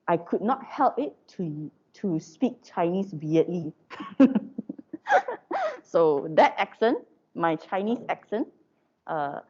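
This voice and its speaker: A woman's voice: lowish voice, dark tone. A woman from Malaysia with a Malaysian English accent, giving an informal lecture to her students in a chatty way, with many fillers and tag questions. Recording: dull lo-fi recording.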